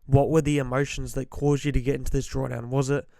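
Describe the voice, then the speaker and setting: A man who is a bit sick and slightly hoarse, giving blunt, emphatic trading-psychology advice and clearing his throat often. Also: medium pitch, flat delivery, fast, mellow, dry recording, studio quality. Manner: direct, emphatic, repeats key points. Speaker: forex trader giving advice. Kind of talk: motivational trading-psychology talk to camera.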